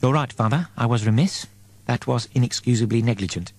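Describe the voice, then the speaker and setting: A man's voice: mid-range voice, brisk, a little mellow, dry recording, lightly acted. A man voicing a surly, devout, self-punishing woman navigator in a space comedy audiobook, curt and stern. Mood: contrite.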